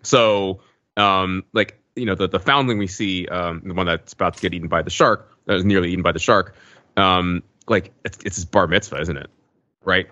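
A man's voice: low-pitched, moderate pace, bright, forceful tone, no echo, highs cut off. A man co-hosting a podcast in casual discussion, tentative and often checking that others agree. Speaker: podcast host.

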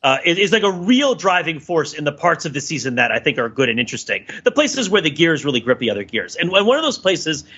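Guy podcasting, sharp bright voice kinda high, thinks out loud in stops and starts, bit muffled sound. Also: big pitch swings, husky. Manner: halting, thinking aloud, repeats "right?" as a tag. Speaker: podcast co-host. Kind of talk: casual analytical podcast discussion.

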